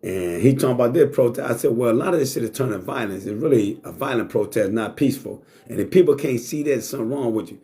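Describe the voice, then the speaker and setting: A man's voice: medium pitch, animated, quick pace, few pauses, warm tone. Blunt streetwise man swearing freely. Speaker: talk show host. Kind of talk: casual monologue to live chat.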